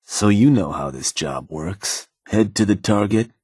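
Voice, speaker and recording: An actor playing a gruff, sly raider gang strategist in a video game, with a husky voice and a folksy, casually menacing manner. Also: male voice, fairly low pitch, animated, a little slow, darkish tone, no room sound, studio quality. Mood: goading, matter-of-fact. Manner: folksy, casually menacing, clipped informal phrasing. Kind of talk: video game dialogue acting.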